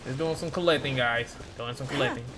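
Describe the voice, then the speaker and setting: A man's voice: medium pitch, brisk. Casual slangy man over game music. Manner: slangy, offhand, informal. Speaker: game streamer. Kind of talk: casual gameplay commentary.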